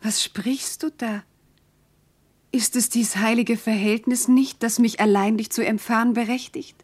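An actress playing a tender, devoted young noble wife in classical verse, earnest, lyrical and often hushed. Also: female voice, on the high side, fairly even intonation, measured pace. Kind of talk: classical verse radio drama acting.

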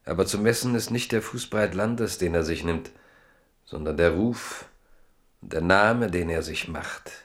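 Deep-voiced male narrator. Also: unhurried. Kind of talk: scripted literary narration.

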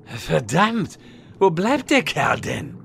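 Smug, creaky wizard played by a man. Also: a little high, wildly swooping pitch, slow, a bit bright, a little hiss or hum, heavily acted. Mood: incredulous. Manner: condescending, mock-solemn. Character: smug, scheming male wizard in online game parody. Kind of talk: comedic audio drama acting.

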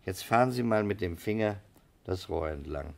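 An actor playing a courteous, shrewd detective who speaks with polite, deliberate probing in a 1960s radio crime drama. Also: male voice, deep voice, lively intonation, gravelly, darkish tone. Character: shrewd, courteous male detective quietly cornering a suspect.

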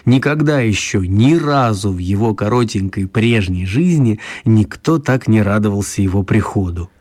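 A man narrating a children's story for radio in an expressive storytelling style. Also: on the low side, moderate pace.

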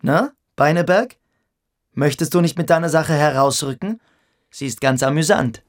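A smug boarding-school boy in a radio drama, acted by a man, with a fairly high voice. He schemes with amused cynicism and mocks the others as he talks. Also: normal speed, natural voice. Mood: amused, scheming. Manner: mocking, smug. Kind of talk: radio play acting.

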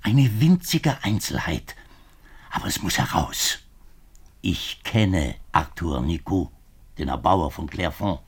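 An actor playing an anxious middle-aged government minister, husky and halting, in a 1950s German radio drama. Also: male voice, low voice, sing-song, heavily acted. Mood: confessional, troubled. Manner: halting, agitated, formal.

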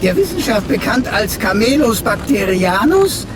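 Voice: medium pitch, big pitch swings, moderate pace, heavy background noise, natural voice. A performer playing a pedantic, playful talking computer sidekick with a pompous, flowery professorial manner. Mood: pompous, lecturing.

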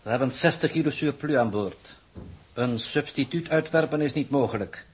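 A man acting a coldly precise, formal mission coordinator in 1960s radio drama, measured and pedantic in manner. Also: medium pitch, husky. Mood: dry, formal.

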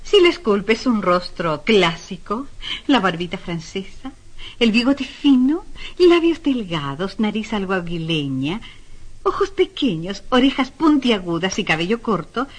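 A woman's voice: medium pitch, sing-song, a little slow. A woman acting in a radio drama as a sculptor's teasing, practical companion, speaking expressively and playfully.

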